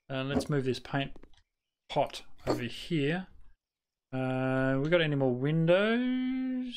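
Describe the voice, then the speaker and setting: A man's voice: medium pitch, a little hiss or hum. An Australian man chatting casually to his live-stream viewers while he plays, rambling with lots of fillers and restarts and muttering at the game.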